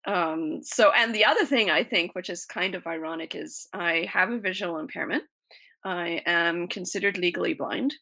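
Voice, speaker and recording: A woman's voice: lowish voice, normal speed, gravelly, pushed and bright. A woman teacher from South Africa, a guest answering interview questions earnestly with a lot of "you know". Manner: earnest, explanatory, many "you know" fillers.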